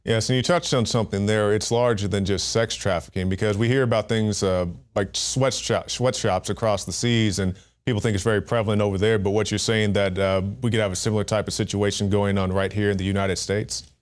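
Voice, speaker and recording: A man hosting a news segment, reading a measured intro and then interviewing a guest. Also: fairly low pitch, steady tone. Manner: measured, reads scripted intro then asks questions.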